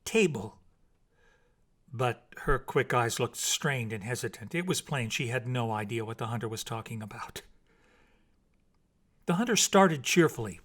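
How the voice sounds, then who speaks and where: A man reading a bedtime story as scripted narration, in an expressive, theatrical and deliberate manner. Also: medium pitch. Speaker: storybook reader.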